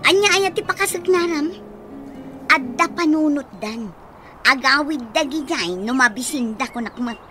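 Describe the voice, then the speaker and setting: A sharp-tongued, defensive woman with a high, bright, forceful voice. She has an Ilocano accent and radio drama delivery, with faint music behind. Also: animated, normal speed. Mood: indignant, defensive.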